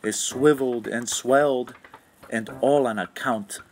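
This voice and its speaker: A man's voice: mid-range voice, measured pace, slight background noise. A man reading a children's storybook aloud in a rhythmic, sing-song way. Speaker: children's book read-aloud narrator. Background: instrumental music.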